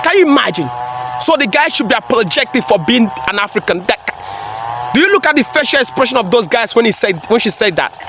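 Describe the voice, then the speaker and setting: A man's voice: very high-pitched, animated, quick pace, noisy background. A man giving a preachy, emphatic reaction commentary, repeating phrases for effect. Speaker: commentary vlogger.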